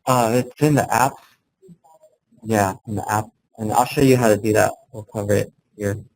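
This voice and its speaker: A man giving an informal instructional talk as a software trainer, explaining plainly in a halting way and checking in as he goes. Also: fairly low pitch, fairly even intonation, poor audio. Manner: halting, plain-spoken explaining, often checking in with "okay" and "right". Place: indoor room, live talk to colleagues. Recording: clearly audible background room noise.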